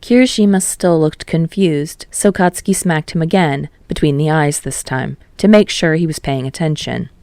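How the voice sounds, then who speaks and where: A woman's voice: low-pitched, moderate pace, hardly any pauses, darkish tone, no echo. A woman reading scripted fiction as an audiobook narrator.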